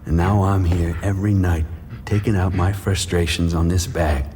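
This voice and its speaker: An actor playing a bitter, regretful washed-up boxer, narrating a noir audio drama in brooding, terse sentences. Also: male voice, deep voice, steady tone, normal speed, noisy background, lightly acted.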